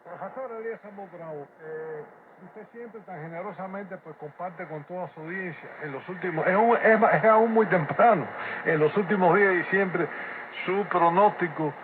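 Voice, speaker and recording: A man's voice: sits high, moderate pace. A man hosting a live radio interview with courteous, hesitant phrasing, heard over shortwave radio. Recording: noisy shortwave radio capture, narrow phone-like band. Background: loud shortwave static and noise.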